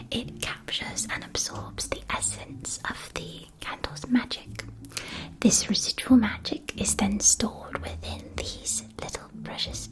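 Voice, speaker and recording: Woman acting as female magic shopkeeper, ASMR roleplay, whispered, slow pace, gentle, attentive, careful articulation. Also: on the high side, poor audio. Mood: soothing, instructive.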